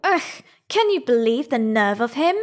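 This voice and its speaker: A woman's voice: a little high, very animated, moderate pace, slight background noise. A woman playing a bold, combative pony lieutenant, assertive and clipped, in a fan-made radio drama. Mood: indignant, bold.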